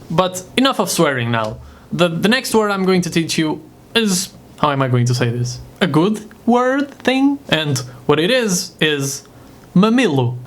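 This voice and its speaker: A man from Portugal with a Portuguese accent, a native Portuguese speaker, giving an informal vocabulary lesson in a casual, jokey manner. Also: a little high. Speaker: host teaching vocabulary. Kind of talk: informal video language lesson.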